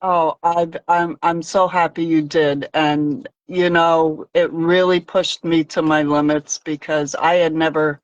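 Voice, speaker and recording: Slow-talking woman hosting a live chat. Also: low-pitched, level tone, no echo, dull audio. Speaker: host crafter.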